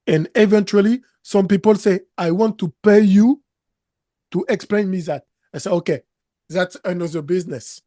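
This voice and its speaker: A man in his thirties with a French accent, a native French speaker and business coach, talking haltingly in a studio interview and repeating words while he searches for English. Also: sits high, mellow, no room sound. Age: 30s. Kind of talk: interview conversation. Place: studio set, seated in armchair.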